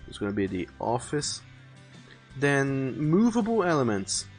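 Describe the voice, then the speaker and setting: A man's voice: medium pitch, sing-song, measured pace. Man casually thinking aloud over music. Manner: rambling, repeats and rephrases as he plans. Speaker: live streamer illustrating a visual novel. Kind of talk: casual livestream chat, thinking aloud while planning artwork.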